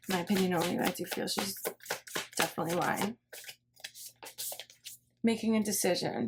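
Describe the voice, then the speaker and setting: A woman giving a casual tarot reading, thinking aloud in a rambling way and often repeating her phrases. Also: medium pitch. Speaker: tarot reader. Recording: clear background noise, card shuffling and clicks.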